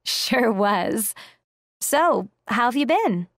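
Actress doing friendly polite lady, textbook small talk, unhurried, dry studio. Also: a little high, lively intonation, no echo. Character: polite, friendly woman greeting acquaintances. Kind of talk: scripted textbook dialogue.

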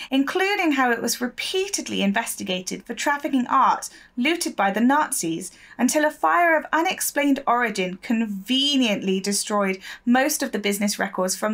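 An actress playing a persistent, shrewd newspaper reporter in an audio drama, speaking confidently and articulately. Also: female voice, on the high side, animated, moderate pace, few pauses, fairly bright tone. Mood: assured, methodical.

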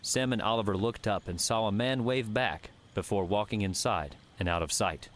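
A man narrating a fiction audiobook with measured, scripted storytelling. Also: lowish voice, a little hiss or hum.

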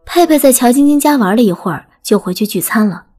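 A woman's voice: on the high side, expressive, fast, dark tone. A woman reading a scripted audiobook story as its narrator. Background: background music.

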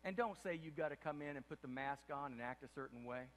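An older man preaching a sermon as pastor from a church stage, impassioned, repeating himself and swinging from loud to hushed. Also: mid-range voice, quick pace, a little hiss or hum. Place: large dark church stage before an audience. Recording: long hall reverb of a big room.

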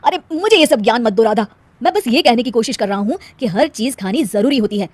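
Cartoon young husband, voiced by a woman: exasperated, admonishing, very high voice, dry sound. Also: expressive, brisk, a little mellow, no room sound. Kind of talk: Hindi cartoon moral-story acting.

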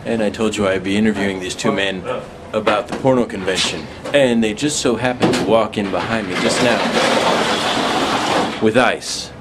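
A man's voice: on the low side, moderate pace. A man interviewing his friends in casual vlog banter, filming them himself in a small room. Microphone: handheld camcorder built-in microphone. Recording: very noisy handheld camera audio.

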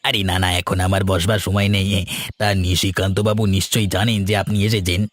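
A man's voice: deep voice, flat delivery, moderate pace. A man playing a chatty, nosy, leering village local in a horror-comedy cartoon, with an ingratiating, hesitant manner.

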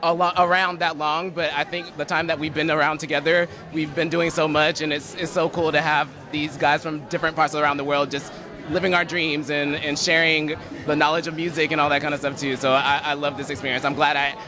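Young male singer, chatty and eager, slightly high voice, crowd noise around. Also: level tone, a little fast. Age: 20s. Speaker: band member. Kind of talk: casual interview answers. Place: indoor event venue backstage. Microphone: handheld mic.

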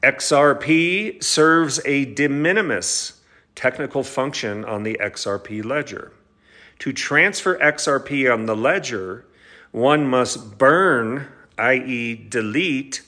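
A man's voice: mid-range voice, pushed and bright. A middle-aged man with an American accent, an attorney reading a legal document aloud with brief asides in a stop-and-start delivery. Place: large indoor living room with high ceiling.

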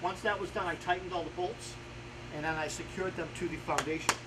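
Guy in his 40s doing a diy how-to outside, voice a bit high, plain talking, pretty noisy background. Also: steady tone, fairly quick. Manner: plain-spoken, step-by-step. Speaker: carpenter hosting a home improvement series. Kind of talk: hands-on how-to explanation, informal. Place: outdoors in a house yard. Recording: noisy outdoor camera audio with ambient sound.

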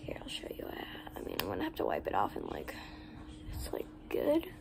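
A woman's voice: rumbling low, huge pitch swings, brisk, in a whisper. A young girl vlogging from her bedroom, chatting in a rambling way full of "like" fillers while filming on a phone camera. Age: 11, child. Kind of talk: casual vlog chat. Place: bedroom, indoors. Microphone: phone camera on desk. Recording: noisy room ambience.

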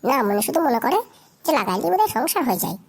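A woman's voice: sits high, big pitch swings, very fast, vocal fry, a little mellow, husky, exaggerated voice. A woman voicing a scolding, crude young village wife in a comedy cartoon. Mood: grumbling. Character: angry, foul-mouthed young Bengali village wife.

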